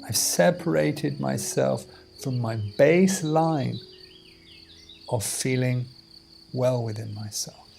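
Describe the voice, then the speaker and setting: Slow-talking man guiding a meditation over music. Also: mid-range voice, vocal fry, a little mellow. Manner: measured, deliberate phrasing with pauses. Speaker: meditation teacher. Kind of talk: guided meditation instruction. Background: music and outdoor insect sounds.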